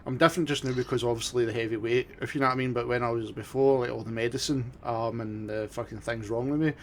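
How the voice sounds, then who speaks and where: A man with a Scottish accent in casual co-host chat, sweary and halting, often repeating his words. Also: mid-range voice, steady tone, a little hiss or hum. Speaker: podcast and stream co-host. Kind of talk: casual chat during a gaming stream.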